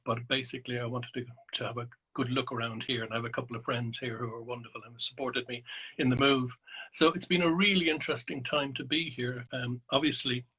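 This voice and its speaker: A middle-aged Irish man talks slowly and reflectively over a video call, pausing often with ums and restarting his sentences. His voice is bright and forward, with a husky, slightly breathy grain. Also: mid-range voice, poor audio. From Ireland. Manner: thoughtful, hesitant, many ums and restarts. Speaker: psychotherapist and naturalist guest. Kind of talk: reflective interview answers.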